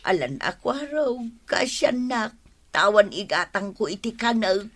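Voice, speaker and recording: Slow, plaintive older woman, Ilocano accent. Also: mid-range voice, big pitch swings, a bit bright. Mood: pleading. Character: needy, pining older woman begging food from neighbours. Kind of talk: Ilocano radio comedy drama acting.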